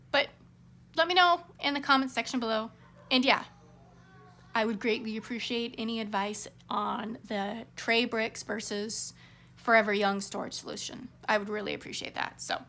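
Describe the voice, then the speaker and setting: A woman hosting a craft vlog, rambling casually to her viewers, her voice a little hoarse. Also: on the high side, bright, forceful tone. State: slightly hoarse.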